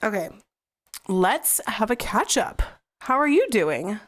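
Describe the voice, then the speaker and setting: A woman's voice: medium pitch, big pitch swings, takes it slowly. Chatty young woman, a bit congested. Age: young adult. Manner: chatty, laughs often, trails off and restarts. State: slightly raspy and congested after flu. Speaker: podcast co-host. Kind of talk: casual chat.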